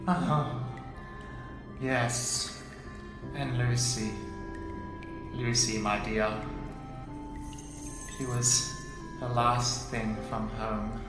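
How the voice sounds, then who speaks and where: An actor playing a gentle, dying old professor in an audio drama, theatrical and wistful, with halting speech. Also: male voice, medium pitch. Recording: very echoey, with music underneath. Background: music.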